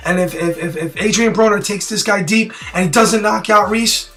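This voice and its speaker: A man with a raspy, hoarse voice, worn out from yelling, talking straight to camera in a blunt, rambling and opinionated way. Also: high-pitched, moderate pace, faint music behind. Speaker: boxing prediction vlogger. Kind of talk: casual direct-to-camera talk.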